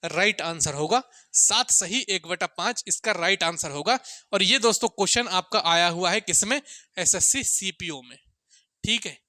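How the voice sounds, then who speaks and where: A man's voice: sits high, normal speed, sharp tone, dry recording, clean studio sound. A man teaching maths informally to students, asking questions and answering them himself, repeating key points. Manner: addresses listeners often as sir and friends. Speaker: maths teacher for exam coaching. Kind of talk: informal classroom-style lesson, talking to students.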